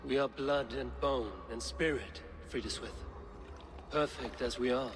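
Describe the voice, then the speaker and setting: An actor playing a solemn, resolute warrior assassin in a video game, speaking in a measured, half-whispered way. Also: male voice, medium pitch, fairly even intonation, background noise, natural voice. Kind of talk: dramatic video game acting. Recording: loud watery game ambience over voice.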